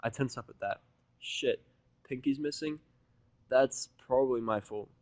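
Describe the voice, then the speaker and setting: A man reading first-person fan fiction as an audiodrama in a sardonic, grumbling, deadpan manner. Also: mid-range voice, measured pace, no room sound. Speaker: audiodrama reader.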